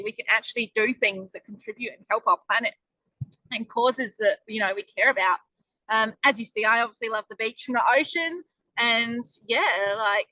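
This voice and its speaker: A woman's voice: fairly high pitch, bright, forceful tone, dry recording, rough recording. A woman presenting a casual webinar in a chatty, upbeat way, with lots of ums. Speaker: online business presenter.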